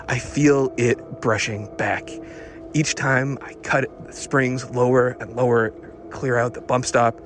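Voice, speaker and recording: An actor playing an obsessed, increasingly unhinged car tinkerer recording a rambling, halting audio diary for an audio drama. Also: male voice, mid-range voice, a little hiss or hum, lightly acted. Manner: halting, nervous, repeating himself, muttering fixations. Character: obsessed man secretly lowering his car, increasingly unhinged.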